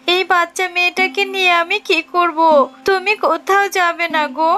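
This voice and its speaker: Woman as a high-pitched, anxious cartoon fox mother. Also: steady tone, moderate pace, pushed and bright. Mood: distraught pleading. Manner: pleading, fretful, motherly.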